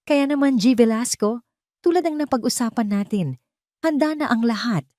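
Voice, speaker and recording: A woman's voice: fairly high pitch, lively intonation, moderate pace, darkish tone, no echo, studio quality, pitched above the performer's own voice, lightly acted. A woman voicing a cold, sarcastic male tycoon in an audio drama, with a clipped, commanding manner. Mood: confident.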